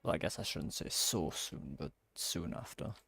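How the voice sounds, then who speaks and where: A man's voice: extremely low pitch, normal speed, creaky, pushed and bright, husky, soft background music. A man giving casual gameplay commentary, musing aloud to himself in short reactions. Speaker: gamer playing through a story quest. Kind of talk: casual game playthrough commentary.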